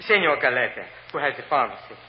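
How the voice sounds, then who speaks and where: A man's voice: mid-range voice, big pitch swings, close to an everyday voice. Humble Spanish-accented witness, played by a man, muffled and reverberant. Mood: anxious, sorrowful. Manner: halting, deferential, formal broken English. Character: humble, earnest immigrant grocery clerk, anxious father on the witness stand. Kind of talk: vintage radio drama acting. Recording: muffled old radio recording, hiss, long reverb.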